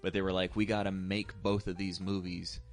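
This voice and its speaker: A man's voice: low voice, flat delivery, fairly quick, soft background music. A man talking casually about film as a co-host. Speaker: podcast co-host discussing film.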